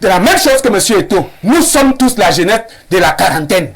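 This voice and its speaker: High-voiced combative man in his forties. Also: sing-song, normal speed, breathy edge. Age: 40s. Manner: combative, interrupting, emphatic. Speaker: debate panelist. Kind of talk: heated TV panel debate.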